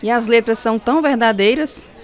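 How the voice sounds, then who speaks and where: A woman's voice: a little high, a little fast, some chatter behind, lightly acted. A woman playing a joyful, devout young choir singer in radio drama dialogue.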